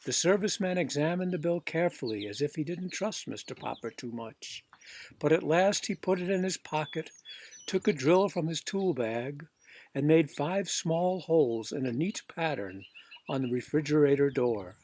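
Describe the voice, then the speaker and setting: Man, storybook narrator, slightly high pitch, expressive read-aloud delivery. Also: normal speed. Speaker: audiobook reader.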